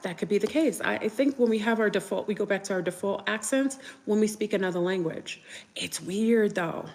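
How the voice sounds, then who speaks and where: Chatty New woman, reverberant room. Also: mid-range voice. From New York. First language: French. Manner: rambling, chatty, answers viewer comments. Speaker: livestream host. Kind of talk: casual livestream chat.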